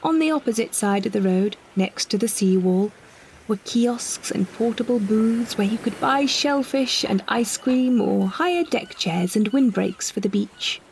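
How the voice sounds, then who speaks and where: A British woman reads a scripted first-person story with measured, expressive phrasing and careful delivery. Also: fairly high pitch, creaky, a little hiss or hum, low-quality recording. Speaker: narrator, solo performer of an audio story.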